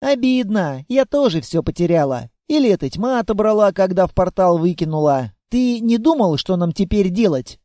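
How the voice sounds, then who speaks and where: A man voicing a chatty little girl, a child of darkness, who pleads and chatters without pause. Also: high-pitched, very animated, mellow, no echo, studio quality.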